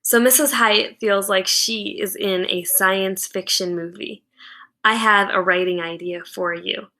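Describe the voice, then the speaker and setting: A woman teacher giving an expressive, deliberate read-aloud of a children's novel with dramatic storytelling. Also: medium pitch.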